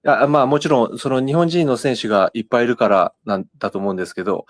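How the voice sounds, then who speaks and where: A man's voice: medium pitch, rapid-fire. A man joining a podcast as a guest over an online call, speaking hesitantly with many fillers. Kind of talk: casual chat.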